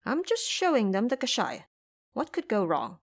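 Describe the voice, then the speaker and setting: A woman's voice: on the high side, huge pitch swings, normal speed. A woman voicing a brash, cocky male monkey disciple in an audio drama, boastful and carefree.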